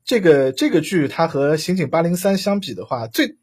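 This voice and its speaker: A man's voice: fairly high pitch, big pitch swings, normal speed, darkish tone, husky. A man hosting a casual live discussion, putting questions to guests in a hesitant, rambling way. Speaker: host. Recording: live audio broadcast.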